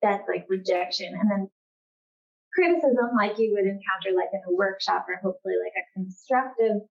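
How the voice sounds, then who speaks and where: A woman's voice: medium pitch, brisk. Casting: American woman writer, informal and hesitant, heavy on "like", slightly muffled lo-fi event recording. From California, United States. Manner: informal, hesitant, frequent "like" as filler. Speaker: novelist, guest author. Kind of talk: casual unscripted answers at a literary event.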